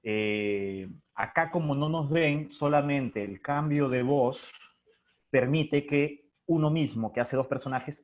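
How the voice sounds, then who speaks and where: A man's voice: medium pitch, darkish tone, dull audio. A man, a theatre director explaining things casually in an interview.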